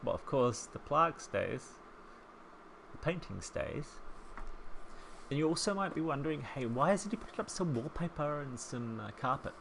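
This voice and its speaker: A man in his thirties with a soft New Zealand accent, chatting casually as a voice-over while he builds a home in a life-sim game, rambling and self-deprecating. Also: fairly high pitch, sing-song, moderate pace, gravelly, slight background noise. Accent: soft New Zealand, softened by years in England. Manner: chatty, rambling, self-deprecating, with frequent ums and small laughs. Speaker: Sims speed-build creator.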